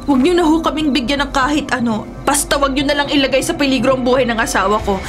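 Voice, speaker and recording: Actress as protective wife, very high voice, Filipino accent, plaintive, insistent, radio drama, music underneath. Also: female voice, fast, close to an everyday voice. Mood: pleading. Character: distraught, protective young wife pleading for her family.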